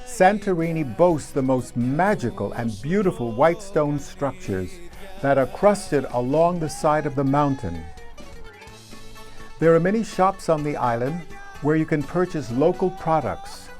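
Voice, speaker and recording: A man narrating a travel show in a measured, descriptive scripted voice-over. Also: on the high side, lively intonation, a little mellow, faint music behind.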